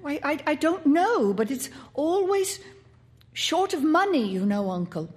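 A woman's voice: high voice, sing-song, unhurried, a little mellow, pitched above the performer's own voice. A woman voicing an anxious, intense young boy who stammers and pleads.

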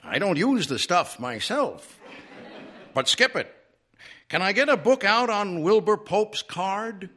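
A man's voice: high voice, big pitch swings, takes it slowly, sharp tone, pitched above the performer's own voice, heavily acted. A man voicing a nine-year-old talking horse in a story, folksy and ungrammatical, polite but blunt. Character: talking horse, nine years old, plainspoken, stubborn, unrefined.

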